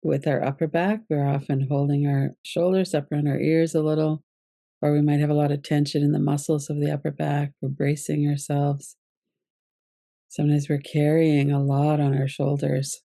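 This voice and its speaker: A woman teaching yoga nidra, leading a guided relaxation meditation in a calm, gentle, unhurried way. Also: low voice, vocal fry, dry recording, studio quality.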